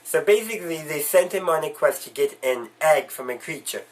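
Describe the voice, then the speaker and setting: A man's voice: on the high side, lively intonation, brisk. A man recovering from a cold, giving a casual, rambling spoken review with frequent restarts. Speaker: video reviewer.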